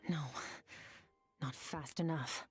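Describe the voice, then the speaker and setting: Stoic anime game warrior lady, low husky breathy voice, slow measured delivery, self-critical. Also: full character voice. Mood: dissatisfied. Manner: terse, measured, detached. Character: stoic young swordswoman, austere and self-critical. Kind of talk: anime video game voice acting. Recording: game music behind the voice.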